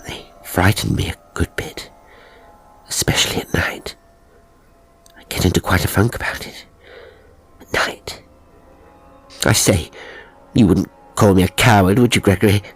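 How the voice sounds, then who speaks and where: A man voicing an anxious, pleading young English woman in an audiobook, breathy, earnest and insistent. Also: sits low, a little slow, pauses often, faint music behind. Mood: nervous. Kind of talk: audiobook character acting.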